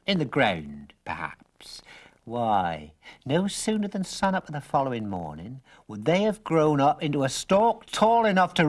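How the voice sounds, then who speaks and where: A storyteller voicing a very old, odd stranger man who talks in wordy, drawn-out persuasion, with a husky voice. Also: medium pitch, pitched above the performer's own voice.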